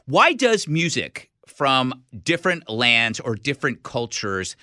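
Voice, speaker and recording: A man's voice: medium pitch, very animated, unhurried, pushed and bright, no echo, clean studio sound. A man hosting a podcast interview, asking questions in a self-deprecating way with hesitant restarts. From San Francisco area. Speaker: podcast host.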